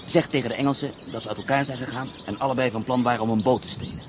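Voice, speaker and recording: An actor playing an escaped German prisoner of war, calm and matter-of-fact as he lays out his plan. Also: medium pitch, racing, noisy background, close to an everyday voice. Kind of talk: Dutch radio play acting.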